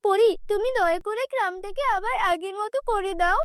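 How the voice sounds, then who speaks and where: A woman's voice: extremely high pitch, fairly quick, no echo, studio quality. A woman voicing a lonely, kind-hearted and naive village girl in a cartoon, with an earnest, plaintive manner. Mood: remorseful.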